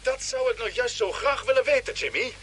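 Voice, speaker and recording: A resolute male space commander from an old radio serial. His voice is high and sharp, his manner decisive and commanding. A light layer of noise sits on the vintage recording. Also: expressive, brisk. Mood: grave, determined. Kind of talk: old Dutch science fiction radio drama acting.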